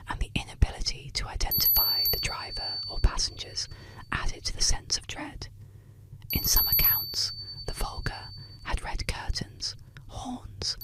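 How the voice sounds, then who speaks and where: A woman whispers an ASMR story right up close to the microphone. She reads eerie legends from a script in short, deliberate phrases, leaving soft pauses between them. The whole delivery stays hushed and breathy, with almost no voiced tone. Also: extremely high pitch, rough recording.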